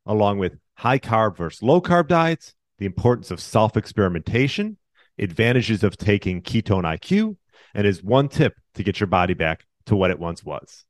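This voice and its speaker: A man's voice: on the low side, big pitch swings, normal speed, dry recording. A man hosting a podcast, reading an upbeat scripted intro and then asking interview questions. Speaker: podcast host.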